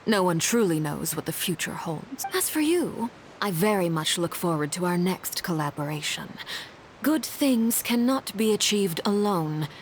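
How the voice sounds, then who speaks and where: A woman playing a commanding, intimidating leader in a video game, speaking in a measured, formal way. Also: on the low side, very animated, a little hiss or hum, rough recording. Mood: cool, assured. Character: composed, intimidating woman, leader of a secretive order. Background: music.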